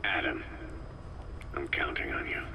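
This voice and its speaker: A weary, hardened older commander with a rock-bottom low pitch. He talks in terse, gruff, guarded bursts, a video game character on a clearly noisy tape recording. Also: expressive, unhurried, heavily acted. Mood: resolved. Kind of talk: scripted video game voice acting on a cassette tape.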